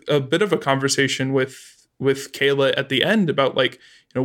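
Man, mid-pitched, interview guest, conversational and halting delivery with repeated words. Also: fairly bright tone. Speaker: audio drama adapter being interviewed.

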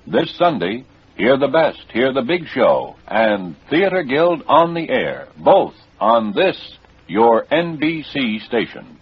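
A man reading a 1950s radio network promo as announcer, with a husky voice. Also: mid-range voice, sing-song, takes it slowly. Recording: dull vintage radio recording.

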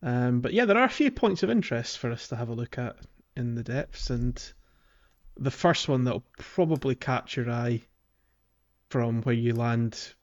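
A man's voice: medium pitch, lively intonation, normal speed, lo-fi sound. A man co-hosting a casual podcast about a video game, talking in a loose, chatty way with many fillers and restarts. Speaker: podcast co-host.

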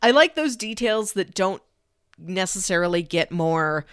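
A woman with a slightly low voice talks casually as a podcast co-host. She retells things in an animated, conversational way, slipping into imagined quotes. The sound is dry and free of room echo. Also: a little slow, clean tone. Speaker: podcast co-host discussing a film. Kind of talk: casual film discussion.

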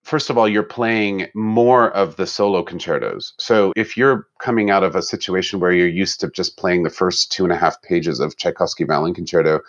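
A man's voice: low-pitched, moderate pace, hardly any pauses, muffled recording. A middle-aged man from Germany, an orchestra musician, chatting casually in an interview, laughing often and restarting his sentences.

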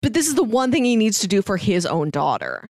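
A woman's voice: mid-range voice, huge pitch swings, brisk, vocal fry, a little mellow, dry recording, clean studio sound. A woman co-hosting a podcast, casually discussing a story and acting out bits of dialogue as she retells it. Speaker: podcast co-host. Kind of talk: casual discussion of an audio drama.

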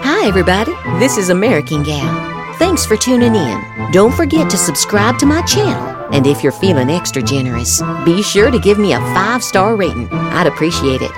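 A woman's voice: on the low side, big pitch swings, normal speed. A woman hosting a show, reading political social media posts aloud with theatrical, emphatic delivery over music. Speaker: podcast host. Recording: music behind the voice.

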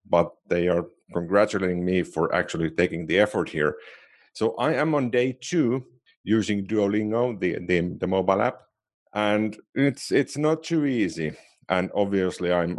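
A man with a Finnish accent co-hosting a podcast, chatting casually and a little self-deprecatingly. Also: low-pitched. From Finland. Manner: chatty, self-deprecating, restarts phrases often. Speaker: podcast co-host. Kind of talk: casual chat.

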